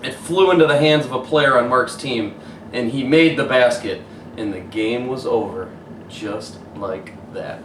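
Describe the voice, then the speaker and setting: A man reads a children's picture book aloud in the animated manner of a teacher. He sounds away from the microphone in an echoey room, with faint noise around him. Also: medium pitch, measured pace. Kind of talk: animated children's story read-aloud, pausing to show pictures. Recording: roomy echo, distant mic, faint noise.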